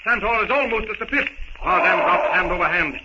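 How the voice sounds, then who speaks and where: A man's voice: high-pitched, expressive, a little fast. A man narrating a 1930s radio adventure serial in a theatrical, suspenseful, dramatic manner. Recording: muffled, noisy 1930s radio recording.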